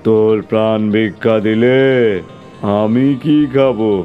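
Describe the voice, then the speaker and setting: A man voicing a cruel, ancient, man-eating skeleton devil in a horror cartoon, taunting and menacing with drawn-out words. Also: fairly low pitch, level tone, phone-quality sound, faint music behind, close to an everyday voice. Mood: gloating menace. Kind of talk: dramatized horror story for cartoon.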